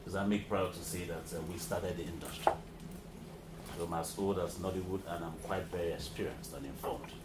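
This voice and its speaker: A man's voice: deep voice, fairly even intonation, a little fast. A middle-aged Nigerian man with an Igbo accent, an actor and filmmaker making emphatic, speechmaking remarks at a press conference. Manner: emphatic, speechmaking, uses everyday comparisons. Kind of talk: impassioned public remarks. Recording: echoey hall with background noise.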